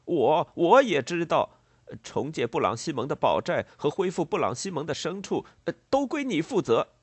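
A man's voice: a little high, very animated, normal speed, slightly rough, dry recording, voice pushed up. A man voicing a frantic, greedy, angry farmer in a storybook, stammering and blustering as he repeats his words. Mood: furious, accusing.

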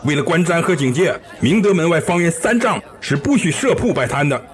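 A man acts out an officious gate guard in an audio drama, in a high, very animated voice. He sounds stern and scolding, every inch the rule-keeper. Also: brisk, close to an everyday voice. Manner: official, reproving. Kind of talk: Chinese audio drama acting.

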